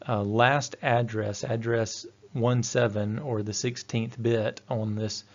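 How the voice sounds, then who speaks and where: A man's voice: mid-range voice, little pitch movement, slow. A man giving an instructional technical presentation in a methodical, explanatory manner. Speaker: technical trainer. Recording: dull, lo-fi voice-over audio.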